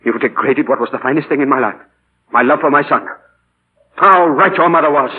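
A man's voice: medium pitch, fairly quick, breathy edge. An actor playing a dignified, earnest middle-aged father hurt by his grown son, in 1940s radio style. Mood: wounded, stern. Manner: earnest, formal, emotional. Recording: muffled 1940 radio broadcast, music.

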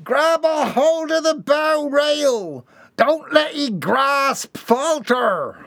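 A man voicing a gruff male sailor who bellows slow commands through a storm. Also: extremely high pitch, lively intonation, fairly bright tone, dry recording, clean studio sound, voice pushed up, heavily acted. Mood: commanding.

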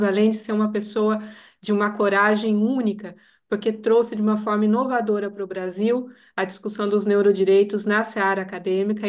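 A woman speaking over a video call in a formal, courteous way. Her pitch stays level with little rise and fall. She sounds like a lawyer addressing a panel. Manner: formal, full of courteous thanks. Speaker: state attorney and postdoctoral researcher.

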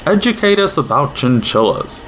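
A man's voice: on the high side, sing-song, moderate pace, a bit bright. A man playing a courteous spaceship captain who is vain about his hair, in a sci-fi comedy audio drama. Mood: commanding. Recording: noisy ambient background hum.

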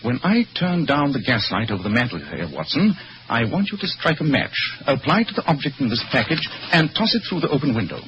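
An actor playing a shrewd, masterful gentleman detective in an old-time radio drama, speaking precisely and theatrically. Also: mid-range voice, very animated, lo-fi sound, background noise, natural voice. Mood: assured, commanding. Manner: brisk, commanding, theatrical, precise.

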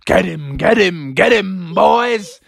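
A man's voice: high voice, animated, slow pace, vocal fry, dry recording, voice pushed up, full character voice. Man playing menacing, hungry storybook pumpkin monsters. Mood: aggressive, hungry. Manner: threatening, shouting.

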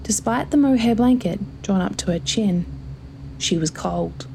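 A woman's voice: mid-range voice, lively intonation, measured pace, creaky, noisy background. A woman reading a scripted story as its narrator.

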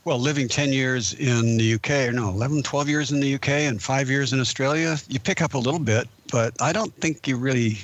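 A man's voice: medium pitch, a little fast, few pauses. A Canadian man co-hosting a podcast, talking in an easygoing, matter-of-fact way. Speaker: podcast co-host. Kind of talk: casual chat.

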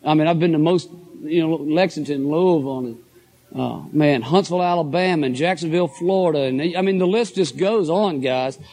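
A middle-aged man with a hoarse voice, giving an enthusiastic, folksy motivational talk to a live audience. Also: on the high side, fairly quick, a little mellow. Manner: folksy, enthusiastic, addresses crowd as guys and folks. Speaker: motivational speaker at a business convention.

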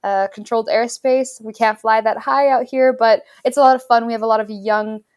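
A woman's voice: fairly high pitch, steady tone, brisk, a little mellow. A young woman from Tanzania, a pilot guest answering interview questions enthusiastically with many fillers and restarts. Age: young adult.

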